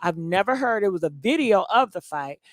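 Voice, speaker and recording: A woman's voice: medium pitch, lively intonation, fairly quick, no echo. A woman hosting a casual call-in gossip show, chatting and taking callers. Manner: chatty, takes callers and runs phone polls.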